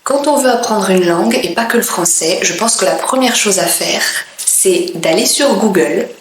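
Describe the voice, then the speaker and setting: A woman from France, a French teacher, speaking in a clear, deliberate way with pauses between phrases. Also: mid-range voice, animated, reverberant, a little hiss or hum, low-quality recording. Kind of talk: slow, careful teaching monologue.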